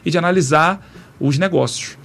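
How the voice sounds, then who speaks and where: Gravelly man explaining finance. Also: a little high, moderate pace, fairly bright tone, a little hiss or hum. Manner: explains patiently with many restarts and repetitions. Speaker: podcast guest explaining finance. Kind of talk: casual explanatory chat.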